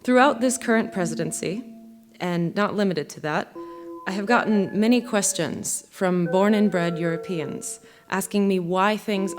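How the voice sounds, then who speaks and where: A young American woman giving a measured, earnest spoken essay from a theater stage, as music plays behind her. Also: fairly low pitch. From United States. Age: young adult. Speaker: violinist and speaker.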